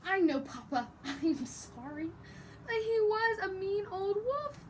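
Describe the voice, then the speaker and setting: Woman doing a little red chicken kid from a picture book, really high, excitable n pleady, bit of noise behind. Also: slow, exaggerated voice. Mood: sheepish, pleading. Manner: eager, exclaiming, pleading.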